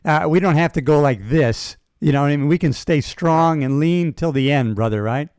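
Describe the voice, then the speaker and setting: A man's voice: fairly high pitch, normal speed, darkish tone, smooth, dry recording, studio quality. A man in his sixties hosting a podcast, chatty and enthusiastic, talking to his guest like a buddy. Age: 60s. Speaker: podcast host. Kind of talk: casual interview talk.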